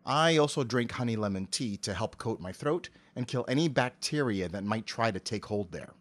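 A middle-aged man giving articulate, measured explanations as a voice actor being interviewed. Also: medium pitch, animated.

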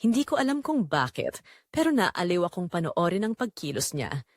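A woman narrating an audio drama as a middle-aged mother-in-law confessing secret longing, in an intimate, measured way. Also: fairly low pitch, big pitch swings, no room sound, studio quality. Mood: confessional, yearning. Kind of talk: first-person dramatic storytelling.

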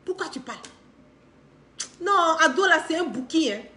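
A woman's voice: extremely high pitch, normal speed. A middle-aged woman with an Ivorian accent giving an impassioned, unscripted political monologue to camera, repeating phrases for emphasis. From Ivory Coast. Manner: emphatic, repeats phrases for stress, animated with hand gestures. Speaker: political commentator on a live stream. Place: indoor living room.

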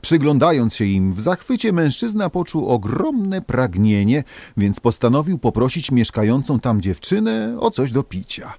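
A man narrating a folk tale as a storyteller, in an expressive, theatrical manner. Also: medium pitch, no echo.

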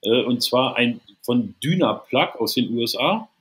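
A man in his thirties from Berlin co-hosts a casual podcast with loose, jokey talk and eager gear explanations. His voice is mid-pitched for a man. He speaks through a headset on a video call. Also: animated, a little slow, a bit bright. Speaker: co-host.

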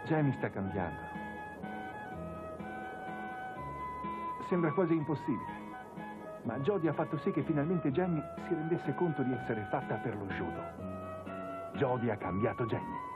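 An earnest young man in a cartoon dub. His voice is fairly high and very animated. The recording is narrow and phone-like, with music underneath. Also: fairly quick. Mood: wondering. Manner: earnest, expressive. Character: earnest, excitable young male judo follower. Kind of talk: cartoon dubbing.

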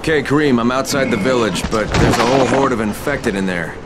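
An actor playing a blunt, driven survivor running errands in a video game cutscene. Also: male voice, medium pitch, a little fast, heavy background noise, natural voice. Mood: agitated. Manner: blunt, sweary. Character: gruff, driven undercover runner hero, adult man.

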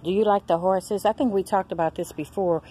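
A woman, filming a casual shopping vlog on a handheld phone, chatting excitedly to her viewers. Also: mid-range voice, brisk, breathy edge, faint music behind. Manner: chatty, excited exclamations, addresses viewers as y'all and girl. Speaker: vlogger. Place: large discount store aisles, indoors. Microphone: handheld phone camera.

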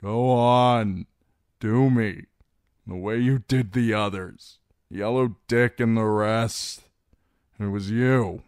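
A man acting an anguished, broken young lord, voice straining high. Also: unhurried, no room sound, clean studio sound, close to an everyday voice. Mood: despairing defiance. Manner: anguished, desperate pleading. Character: broken, terrified, pleading young man, tormented lord's son. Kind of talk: dramatic audiobook character acting.

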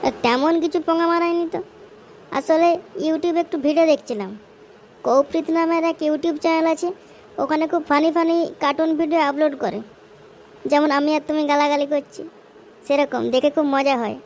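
A woman's voice: high voice, steady tone, fairly quick, a little mellow, a little hiss or hum. A woman voicing a cheeky, idle village son in an amateur comedy cartoon, wheedling and whining in a West Bengal accent. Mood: cheeky, placating. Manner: whiny, cajoling, casually cursing.

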